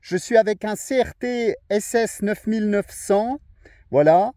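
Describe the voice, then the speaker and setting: A chatty man makes a portable ham radio contact from an outdoor summit, talking freely with many fillers. His voice sits high for a man. The sound is close and dry. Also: unhurried, dark tone, no echo. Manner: chatty, friendly, frequent fillers. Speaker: radio amateur operating outdoors. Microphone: portable radio, filming a video.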